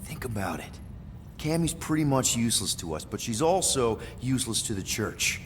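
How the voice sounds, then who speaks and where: A man's voice: mid-range voice, big pitch swings, moderate pace, a little hiss or hum, close to an everyday voice. Casual, quippy male space crew leader, played by an actor. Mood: persuasive. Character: scrappy, upbeat human man leading a space crew. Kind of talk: video game cutscene acting.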